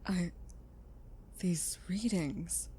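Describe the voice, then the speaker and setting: A woman's voice: on the low side, drawn-out words, mellow, slight background noise. A woman playing an earnest, curious scientist in a scripted audio drama. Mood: stunned. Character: earnest, curious female scientist who built a robot. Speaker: voice actor in an audio roleplay.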